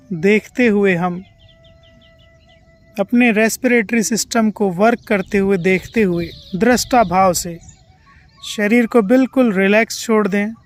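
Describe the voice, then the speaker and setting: An Indian yoga nidra instructor guiding relaxation in a calm, soothing way with drawn-out instructions and pauses. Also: mid-range voice. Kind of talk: guided relaxation meditation.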